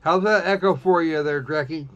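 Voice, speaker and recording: A man giving casual, snarky live commentary on a game, blunt and halting, often restarting his phrases. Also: a little high, fairly bright tone, soft background music. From United States. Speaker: live streamer playing a visual novel. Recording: dull, lo-fi stream audio.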